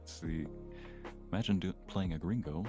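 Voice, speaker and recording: A man's voice: deep voice, moderate pace, over music. A man chatting casually on a livestream in an easygoing, agreeable way with slightly hesitant phrasing. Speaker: ASMR voice actor, guest.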